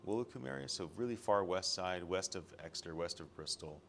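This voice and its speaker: An American man hosting a relaxed interview, rambling and chatty with lots of fillers. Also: low-pitched, brisk, gravelly, slight background noise. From United States. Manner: rambling, chatty, laughs often, trails off with fillers. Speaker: host. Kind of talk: casual interview. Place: room at an event in London.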